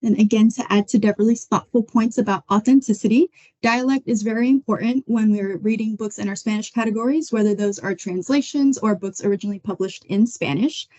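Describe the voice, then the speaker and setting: A young adult woman from Florida giving a measured, prepared book talk over a video call. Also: medium pitch, mellow. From United States (Florida). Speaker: book selection editor. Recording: muffled dull audio.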